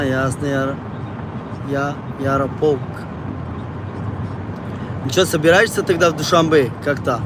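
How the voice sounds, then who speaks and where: A man from Tajikistan, chatting casually and ramblingly with viewers on a livestream. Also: on the high side. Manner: rambling, conversational, repeats phrases. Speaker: live streamer. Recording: noisy outdoor or car street noise.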